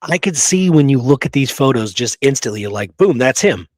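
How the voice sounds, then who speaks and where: A man co-hosts a history talk show in a conversational, debunking style. His pitch is average and the sound is dry. He stresses key phrases by stretching them out and often puts rhetorical questions to his listener. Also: expressive, a little fast, no echo. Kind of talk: conversational debunking discussion of an old photograph.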